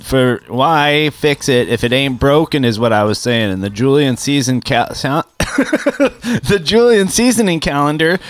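A man's voice: mid-range voice, expressive, a little slow, no room sound. A man co-hosting a casual comedy podcast, tossing in wisecracks and mock-grandiose asides. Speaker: podcast co-host.

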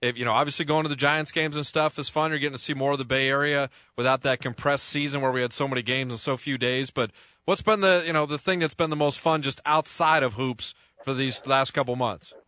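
Bright-voiced male radio host. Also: mid-range voice, fairly even intonation, fairly quick, no room sound. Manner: chatty, joking, lots of fillers. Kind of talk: radio interview.

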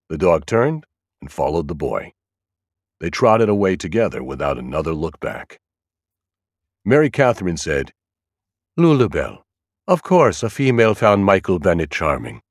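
A man reading scripted first-person thriller narration as an audiobook, with an expressive, dramatic storytelling delivery. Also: deep voice, slightly rough, no echo, clean studio sound.

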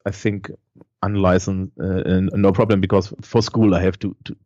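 A man with a German Thuringian accent chats in English, his second language, stumbling over words and restarting often. His voice sits low, and the recording sounds dry and a little muffled. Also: little pitch movement, normal speed, a little mellow, no echo. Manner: hesitant, many restarts and fillers. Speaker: teacher and podcaster, guest. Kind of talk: casual conversation.

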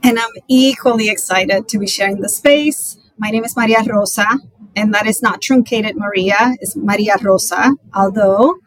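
A woman from the Republic, a Spanish speaker, speaking deliberately and searching for words as an interview guest. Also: mid-range voice, low-quality recording. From Dominican Republic. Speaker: clinical associate professor, podcast guest. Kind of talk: reflective conversational interview answer.

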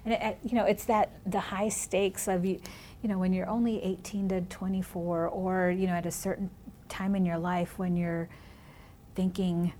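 Woman host, spanish was her first language, lowish mellow voice, thoughtful and halting, faint rumble behind. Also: flat delivery. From California, raised in Minnesota. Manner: reflective, many restarts and "you know"s. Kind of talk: conversational interview about education.

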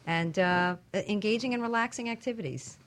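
A woman's voice: medium pitch, a little fast, a little hiss or hum. A woman sharing wellness advice casually on a talk show, in an earnest, emphatic way. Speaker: wellness panelist.

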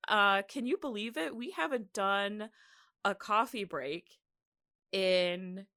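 A woman hosts a casual podcast in a bright, crisp-edged voice at a medium pitch. Her delivery is chatty and animated, with a giggly streak. The sound is dry, with very little room around her. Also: slow, no echo. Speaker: podcast host.

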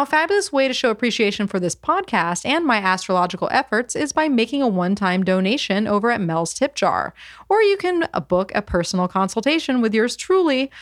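A woman's voice: medium pitch, sing-song, a little fast, fairly bright tone, dry recording, clean studio sound. A woman from California hosting a podcast interview, upbeat and chatty with some fillers. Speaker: podcast host.

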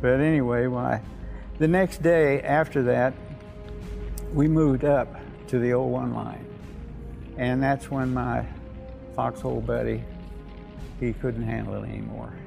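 An elderly man with an American accent, a war veteran telling his memories in a plain-spoken, halting way. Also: mid-range voice, fairly even intonation, gravelly, darkish tone, soft background music. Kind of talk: unscripted reminiscence.

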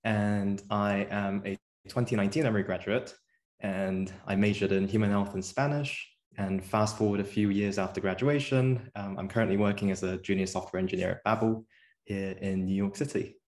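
A young man from South Korea speaks as a podcast guest in a fairly low voice. He answers in a relaxed, thoughtful way. He pauses often with ums and restarts. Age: young adult. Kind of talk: casual interview answers.

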